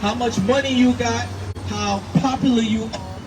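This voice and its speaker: A man preaching outdoors, forceful and emphatic, repeating his points. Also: very high voice, moderate pace. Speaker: street preacher. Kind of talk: street preaching. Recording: distant mic with loud street noise.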